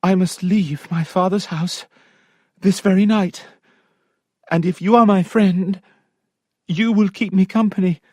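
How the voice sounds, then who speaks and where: A man reading an audiobook, voicing an earnest, determined young woman in a lifted, softened voice. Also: high-pitched, steady tone, a little slow, pauses often, dry recording, studio quality, voice pushed up.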